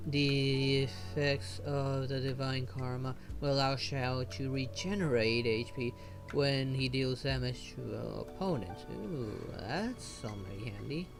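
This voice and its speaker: A man giving casual gameplay commentary as he streams a video game. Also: mid-range voice. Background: game music.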